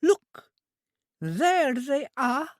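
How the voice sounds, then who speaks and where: A reader voicing a childlike, eager young woman who speaks slowly in a high, sing-song, pleading way. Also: sing-song, no room sound, studio quality, full character voice.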